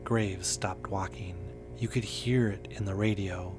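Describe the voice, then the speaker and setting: A man reading a scripted first-person horror story in a measured, understated way. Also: sits low, fairly even intonation, over music. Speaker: narrator.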